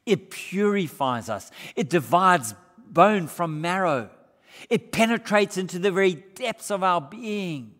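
An older man preaching a sermon in a church, shifting between raised, emphatic passages and hushed, low ones. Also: expressive, measured pace. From Australia. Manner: gestures broadly while preaching. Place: church hall with brick walls. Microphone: far camera. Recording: reverberant church hall.

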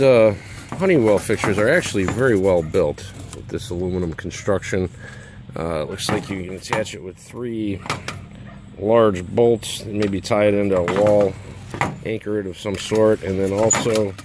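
A man's voice: fairly low pitch, background noise. A man in his thirties giving a casual, practical product review with many fillers and restarts, filming close-ups at home. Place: home, over a glass patio table, then outdoors in a backyard.

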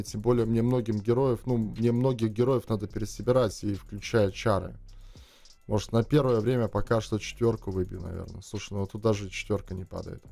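A man's voice: lowish voice, vocal fry, warm tone. Young man chatting over a mobile game. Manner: chatty, thinks aloud, talks to viewers. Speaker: gaming streamer. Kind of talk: casual game commentary. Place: small room indoors. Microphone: headset, webcam framing. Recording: dry close sound, faint music behind.

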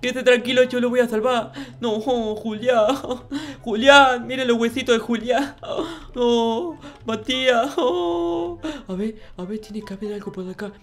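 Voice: mid-range voice, unhurried, hardly any pauses, natural voice. A performer voicing a naive, easily frightened childlike boy in a Minecraft roleplay, whiny and stammering as words repeat. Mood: panicked, tearful, then nervous, hushed. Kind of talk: improvised in-character gaming roleplay.

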